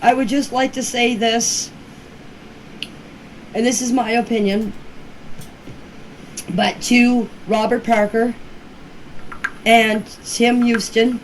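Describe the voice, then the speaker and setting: Woman in her 50s, highish voice, really slow n earnest, plain talk into a phone, bit of noise behind. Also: little pitch movement, poor audio. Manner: plainspoken, earnest, emphatic, repeats herself for stress. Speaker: community member speaking about a case. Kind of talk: informal personal voice messages. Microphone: phone voice message.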